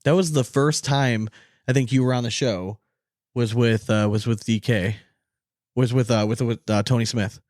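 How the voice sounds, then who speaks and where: A man hosts a casual sports podcast, talking in a chatty, rambling way. His voice sits at an ordinary male pitch and is recorded close and dry, with almost no room around it. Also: fairly even intonation, normal speed, a little mellow, no room sound. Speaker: podcast host.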